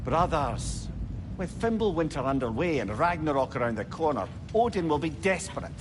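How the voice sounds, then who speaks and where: An actor playing a chatty, worldly-wise companion in a video game, speaking in a wry, articulate manner. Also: male voice, fairly high pitch, big pitch swings, moderate pace, noisy background. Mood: grave, explaining. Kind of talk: video game dramatic acting.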